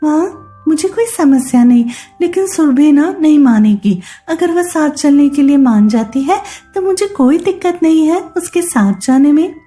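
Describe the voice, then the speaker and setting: A sensible young woman reasons mildly in a very high voice, acted by a woman. She is patient and studious, a cartoon moral-story heroine, with clear music underneath. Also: moderate pace, warm tone, lightly acted. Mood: reasonable.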